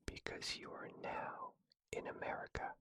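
A reader voicing an earnest, innocent young girl in a soft, hesitant whisper for a storybook. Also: a little slow, no room sound. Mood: matter-of-fact.

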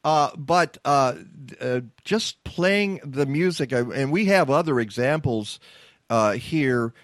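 Male radio host, casual and joking, lots of fillers, dry close sound, middle pitch. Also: animated, dry recording. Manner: chatty, joking, halting with fillers. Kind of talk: casual interview talk.

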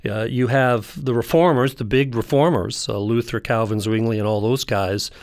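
A male scholar talks as an interview guest in a conversational academic style. He has a medium-pitched voice and moves through his explanation in measured, halting phrases, pausing as he goes. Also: steady tone, no echo, studio quality.

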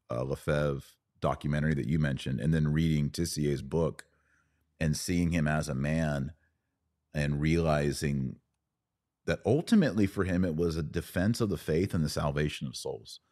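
A man's voice: a bass voice, steady tone, moderate pace, darkish tone. A man in a casual podcast conversation, hosting and talking in a reflective, anecdotal way. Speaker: podcast host.

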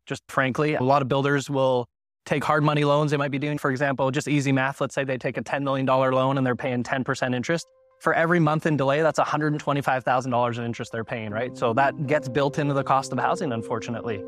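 A man's voice: mid-range voice, steady tone, no echo, soft background music. Guy on a panel talking housing stuff, casual, lots of "right?", dry close mic. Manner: conversational, explains with quick examples, tag questions. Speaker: realtor on a panel. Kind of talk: panel discussion answer. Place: conference panel.

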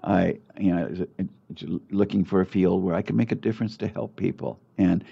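An older man with a low, gravelly voice talks about his life as a doctor in a relaxed interview. He hesitates often, restarting phrases and leaning on fillers. The sound is muffled and lo-fi. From United States. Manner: halting, many fillers and restarts. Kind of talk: casual conversational interview. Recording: muffled lo-fi audio.

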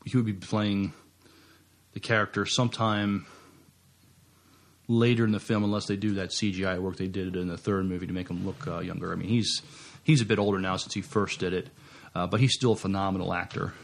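Creaky, low-voiced man co-hosting a podcast. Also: level tone, a little fast. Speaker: podcast co-host. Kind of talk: casual podcast chat.

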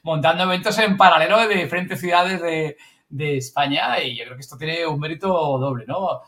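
High-pitched male podcast host from Spain. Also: brisk, fairly bright tone. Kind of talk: casual chat, prompting guests with questions.

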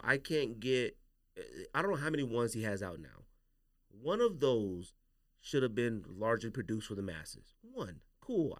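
A man hosts a casual, slangy chat show with strong opinions. His mid-pitched voice moves up and down a lot, recorded dry with no room sound. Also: dry recording. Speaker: podcast host. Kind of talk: casual sneaker podcast chat.

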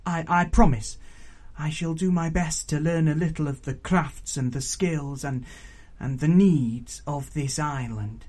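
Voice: mid-range voice, unhurried, darkish tone, lightly acted. A storyteller voicing an earnest, flustered young bride who speaks hesitantly and pleadingly.

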